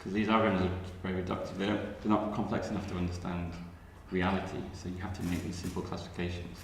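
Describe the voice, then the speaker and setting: A man making a point on an academic panel, explaining briskly and steadily. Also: low voice, level tone, a little hiss or hum. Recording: roomy hall with long reverb.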